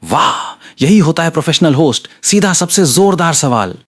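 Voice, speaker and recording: A man's voice: fairly high pitch, lively intonation, normal speed, fairly bright tone, pitched above the performer's own voice. A man voicing livestream viewers who gush and tease. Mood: thrilled. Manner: gushing, teasing. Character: excited livestream viewers, cheering and teasing.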